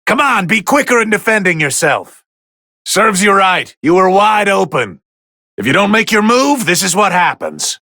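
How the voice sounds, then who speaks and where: A haughty god of destruction as a video game fight commentator. The voice is bright, sharp and fairly high for a male, swooping with condescending, theatrical refinement. He sounds like he is easily bored by mere mortals. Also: very animated, normal speed, dry recording, studio quality, full character voice. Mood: irritated, scornful. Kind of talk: English video game voice lines, match commentary.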